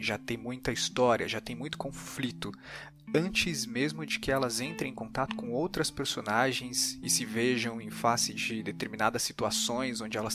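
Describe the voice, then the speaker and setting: Higher-voiced Brazilian man over guitar music. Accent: Brazilian Portuguese. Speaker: podcast host and interviewer. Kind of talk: analytical podcast commentary and interview questions.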